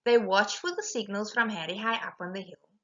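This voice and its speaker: A woman reading a rhyming children's storybook aloud in an animated, emphatic way. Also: medium pitch, fairly quick, highs cut off. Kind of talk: expressive rhyming storybook narration.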